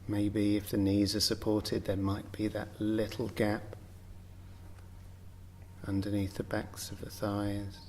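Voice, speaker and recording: Slow, low-voiced man guiding meditation. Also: completely flat delivery, slight background noise. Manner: gentle, unhurried, with long pauses between short phrases. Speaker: yoga nidra meditation guide. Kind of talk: guided meditation, slow spoken relaxation instruction.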